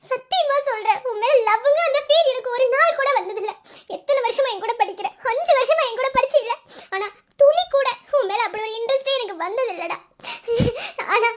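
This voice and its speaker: A woman acting as a distressed young student with an extremely high, childlike pitch. She pleads tearfully and earnestly, in the heightened style of melodramatic audio drama. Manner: tearful, imploring.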